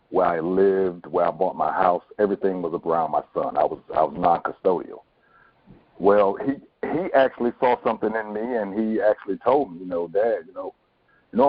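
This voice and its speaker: A middle-aged man answering personally and sincerely as an interview guest, in a halting manner. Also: sits low, slightly rough, no echo. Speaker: stage actor and podcast guest. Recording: muffled phone-like call.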